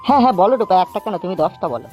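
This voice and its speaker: A man acts out a pushy male suitor from a comedy cartoon. He speaks rapidly in a coaxing, wheedling way, repeating himself, with light music behind him. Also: sits high, animated, darkish tone. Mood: eager, persuading. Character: smooth-talking pushy male suitor wooing an abandoned wife.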